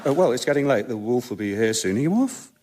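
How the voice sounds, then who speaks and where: A man playing a blunt, practical little pig in a radio comedy sketch, matter-of-fact and deadpan. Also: mid-range voice, fast talker, natural voice.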